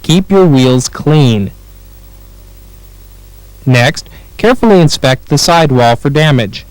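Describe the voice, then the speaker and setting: American guy doing training film narration, mid pitched voice, measured and instructional, dry close sound. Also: expressive, darkish tone, no room sound, poor audio. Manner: clear, measured, instructional delivery.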